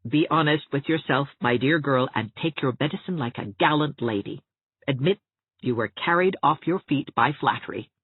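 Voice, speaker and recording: Sensible young woman voiced by the narrator, dry and brisk; tinny close sound. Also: medium pitch, breathy edge, no echo, natural voice.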